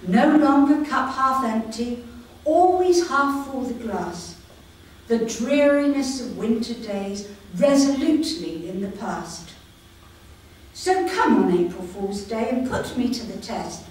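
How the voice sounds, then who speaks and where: Slow-reading woman poet in an echoey hall. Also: on the high side, animated, a little mellow, far from the mic. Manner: slow, measured reading with light humour. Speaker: poet reading her own work. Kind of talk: poetry reading at a live event. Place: community hall with live audience. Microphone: no microphone, voice projected.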